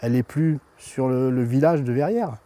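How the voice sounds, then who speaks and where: A man's voice: mid-range voice, normal speed, warm tone. A man answering interview questions as a local official, often repeating and rephrasing his words.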